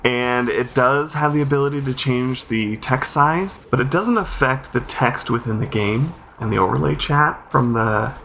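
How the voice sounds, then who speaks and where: A man's voice: medium pitch, normal speed, vocal fry, few pauses, fairly bright tone, slight background noise. A man hosting a game accessibility review, explaining things informally and thinking aloud as he goes. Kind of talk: informal spoken walkthrough and review commentary.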